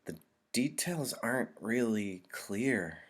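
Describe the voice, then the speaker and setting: Male reader as young storybook boy, low-voiced, halting, earnest, embarrassed, confiding. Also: lively intonation, husky, exaggerated voice. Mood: hesitant recalling.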